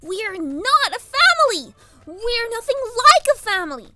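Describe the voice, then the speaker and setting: A woman's voice: very high-pitched, big pitch swings, measured pace, very noisy, heavily acted. A woman voicing a bratty, indignant young girl pony villain in a fan fiction audio drama, whiny, exasperated and dramatic. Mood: outraged.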